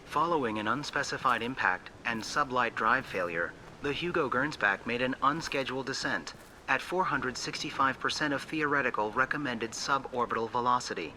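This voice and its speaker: Impassive, very bright ship computer. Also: male voice, medium pitch, normal speed, slight background noise, poor audio. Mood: impassive, clinical. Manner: formal, emotionless, precise. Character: impassive male-voiced ship beacon computer reciting incident logs. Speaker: automated emergency beacon. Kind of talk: automated log readout.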